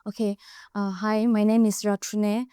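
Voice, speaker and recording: A woman's voice: mid-range voice, level tone, measured pace, mellow, clean tone, no echo, studio quality. A young woman from northeast India with an Indian English accent, a nurse answering podcast questions with frequent fillers. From Manipur, northeast India. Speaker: nurse, guest.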